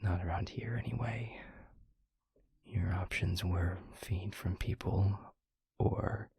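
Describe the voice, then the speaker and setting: A very husky, breathy vampire boyfriend, acted by a man, confides in a slow, halting half-whisper. His low voice crackles with gravelly fry, brooding yet gentle, as in an intimate audio roleplay. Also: fairly even intonation, no room sound, exaggerated voice. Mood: somber, reflective. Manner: halting, hushed, earnest, with long pauses. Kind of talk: intimate audio roleplay, soft confessional monologue.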